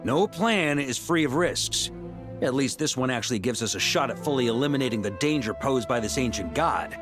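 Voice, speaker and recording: Game cast: man playing a calm, commanding knight leader, lively pitch, music behind. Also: brisk, natural voice. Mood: assured. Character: confident male knight commander, middle-aged.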